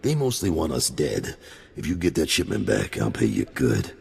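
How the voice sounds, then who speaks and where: A gravelly deep-voiced gangster boss. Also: male voice, huge pitch swings, moderate pace, a little mellow, big-room sound, exaggerated voice. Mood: blunt. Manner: blunt, gruff. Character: gruff gangster boss, blunt and worldly.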